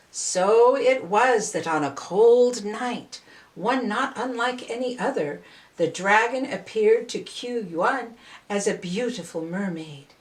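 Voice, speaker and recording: An older woman narrates a storybook in a mid-pitched voice. She takes her time, phrasing each part with deliberate care. The room adds a clear echo around her words. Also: recorded from a distance. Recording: roomy, echoing voice recording.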